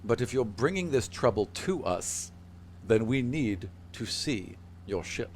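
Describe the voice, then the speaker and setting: A man voicing a wary, stern female leader of forest soldiers in a formal, measured, guarded way for a tabletop game. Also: medium pitch, very animated, slight background noise.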